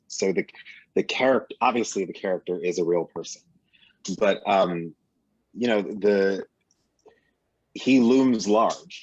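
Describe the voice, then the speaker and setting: A man with a very low voice and a slightly husky edge takes part in a panel discussion. He analyses with emphatic repetition, one parallel phrase after another. The sound is dry and somewhat muffled. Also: no echo. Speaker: panel guest, actor.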